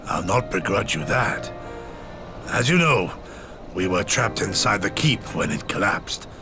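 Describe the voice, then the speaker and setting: An actor playing a gentle, honourable old samurai in a video game, speaking gravely and with archaic formality. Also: male voice, lowish voice, animated, over music. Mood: gentle, solemn. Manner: slow, solemn, archaic formality. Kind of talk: English video game cutscene acting.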